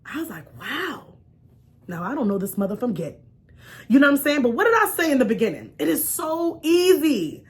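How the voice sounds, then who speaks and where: A woman talk show host telling a personal story in an expressive, chatty, informal way, acting out bits of dialogue and checking in with her listeners. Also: high-pitched. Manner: punctuates points with check-in questions like "right?". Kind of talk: animated personal storytelling to an online audience.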